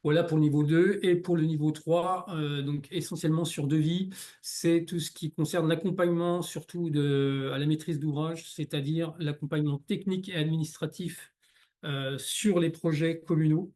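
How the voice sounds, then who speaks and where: A man giving an explanatory presentation in a halting way, often repeating words as he goes. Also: on the high side, steady tone, darkish tone. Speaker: local authority staff presenter.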